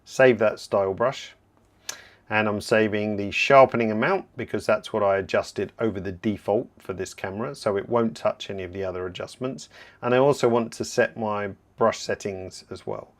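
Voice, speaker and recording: A man with a somewhat low voice leads a live software lesson, explaining each step as he clicks through it. He sounds relaxed and a little hoarse, clearing his throat from time to time. His delivery is conversational, with frequent asides as he fields questions. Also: normal speed. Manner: relaxed, explanatory, thinking aloud while working. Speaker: software trainer hosting a livestream. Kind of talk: live software tutorial with viewer Q&A.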